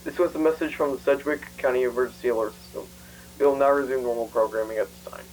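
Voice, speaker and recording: A calm emergency alert official, acted by a man, speaking at a medium pitch. The reading is formal and matter-of-fact, like a scripted public notice, with faint hum behind it. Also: fast, close to an everyday voice, rough recording. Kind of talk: scripted official broadcast message.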